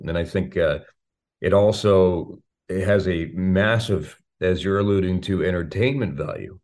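A man's voice: low-pitched, a little mellow. A man, a radio play-by-play announcer, giving wordy sports analysis as an interview guest, often repeating words as he searches for the next phrase. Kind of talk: sports interview chat about hockey.

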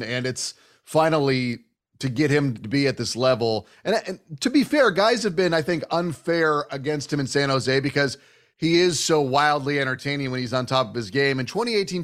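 A man's voice: medium pitch, fairly bright tone. A man with a North American accent hosting a sports show, giving casual conversational analysis.

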